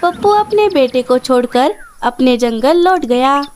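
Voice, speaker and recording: A woman's voice: sits high, lively intonation, unhurried, darkish tone, a little hiss or hum. A woman reading scripted narration for a children's cartoon story.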